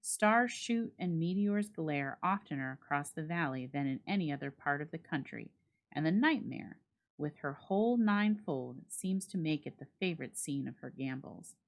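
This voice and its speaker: A woman reads a literary story aloud as a dramatic narrator, with measured, expressive phrasing. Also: sits low. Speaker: library staff reader and host.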